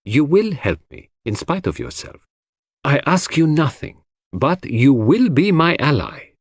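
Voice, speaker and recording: A man voicing a shrewd, solemn foreign detective in an audiobook, speaking in a measured, emphatic and enigmatic manner. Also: on the high side, sing-song, no echo, clean studio sound, pitched above the performer's own voice, full character voice. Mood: quietly insistent.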